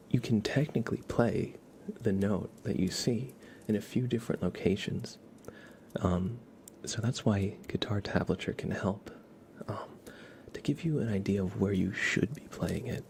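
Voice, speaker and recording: Male ASMR live streamer, low and mellow, soft with slips into whisper, informal halting explainer, slight noise behind. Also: gravelly.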